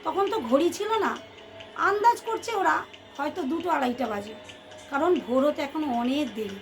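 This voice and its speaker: High-voiced woman storyteller. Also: normal speed. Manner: expressive, addresses listeners as friends, repeats phrases for suspense. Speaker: storyteller, channel host. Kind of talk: dramatic oral ghost storytelling to listeners. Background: background music.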